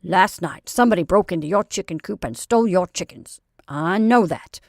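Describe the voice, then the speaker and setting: Woman playing a thin, crisp, impatient Santa impostor. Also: lowish voice, lively intonation, normal speed, no room sound, clean studio sound. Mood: insistent.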